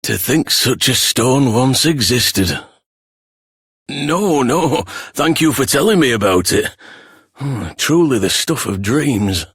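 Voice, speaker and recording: A man voicing a gentle, heroic warrior in a video game, husky, earnest and reflective. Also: medium pitch, lively intonation, slow, no echo, clean studio sound, exaggerated voice. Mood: wistful, earnest. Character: gentle heroic warrior, wistful husband and father. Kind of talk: video game character voice acting.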